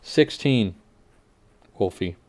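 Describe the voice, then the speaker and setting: A man hosting a livestream, casually reading game update notes aloud and adding wry asides that trail off quietly. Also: fairly low pitch, expressive, drawn-out words. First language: English. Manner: frequent fillers and restarts while working out numbers. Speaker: livestream host.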